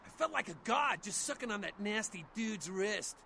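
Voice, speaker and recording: An actor playing a starstruck young vampire's servant in a video game, gushing and rambling in slangy American English. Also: male voice, sits high, expressive, moderate pace, gravelly, bright, forceful tone, a little hiss or hum, heavily acted. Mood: awed, gushing. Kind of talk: video game dialogue acting.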